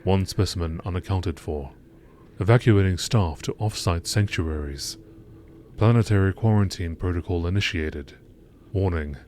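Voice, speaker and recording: A man's voice: sits low, moderate pace, darkish tone, slight background noise, lightly acted. A man voicing a grave, impersonal sci-fi facility log in a formal, detached manner. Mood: grave, official. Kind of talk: scripted sci-fi game log narration.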